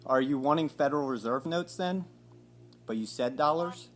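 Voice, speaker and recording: A man in his forties at home, asking slow, hesitant, mock-innocent questions on a recorded phone call. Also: on the high side, soft background music. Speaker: caller. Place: home living room by a window.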